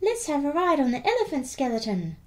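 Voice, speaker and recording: An eager little skeleton boy from a children's storybook, acted out by a woman. The voice jumps up high and bright with childlike excitement, swinging playfully through each phrase. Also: a little fast, a little hiss or hum. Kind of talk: children's picture book read-aloud.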